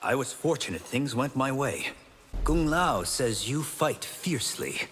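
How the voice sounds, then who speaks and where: An actor playing a modest, courteous martial arts champion in a video game, with a polite, earnest delivery. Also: male voice, mid-range voice, expressive, unhurried, fairly bright tone. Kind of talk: video game character dialogue. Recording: long reverb with background noise.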